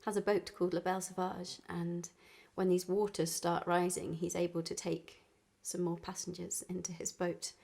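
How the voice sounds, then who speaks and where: A woman's voice: on the low side, level tone, moderate pace, gravelly, few pauses, darkish tone. A woman musician chatting informally and introducing songs, with an easy, laughing manner. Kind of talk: casual chat between songs.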